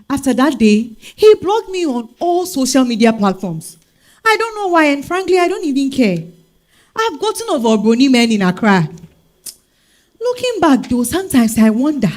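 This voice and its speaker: A woman with a Ghanaian accent acting an outspoken, man-weary single woman in a stage monologue, blunt and animated. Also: sits high. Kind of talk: dramatized stage reading, monologue.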